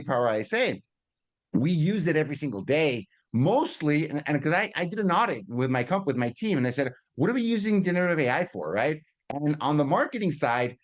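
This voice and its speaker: A middle-aged man giving an informal webinar presentation on a video call, explaining in a conversational teaching manner, repeating words and checking in with listeners. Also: mid-range voice. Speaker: guest presenter at a business webinar.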